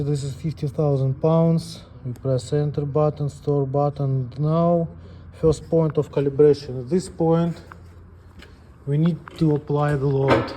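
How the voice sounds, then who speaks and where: Slow, dark-voiced man giving a technical tutorial. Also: fairly high pitch, a little hiss or hum. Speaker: presenter of a scale calibration tutorial. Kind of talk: unscripted step-by-step technical demonstration.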